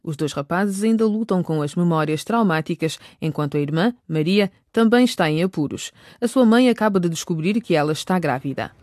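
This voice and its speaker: A woman's voice: fairly low pitch, expressive, a little fast, hardly any pauses, darkish tone, no echo, clean studio sound. A woman narrating a radio drama from a script.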